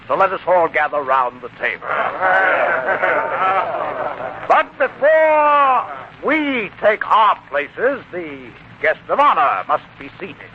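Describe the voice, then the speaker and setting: A hearty, authoritative father of the bride. His high voice swings widely as he declaims in 1940s radio drama fashion. The old recording sounds muffled. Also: a little hiss or hum. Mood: proud, declaiming.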